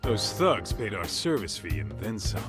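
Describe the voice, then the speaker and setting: Brash, lively American tough guy. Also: on the low side, normal speed, music in the background. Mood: breezy. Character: brash, teasing tough-guy male detective. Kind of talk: English video game dub acting.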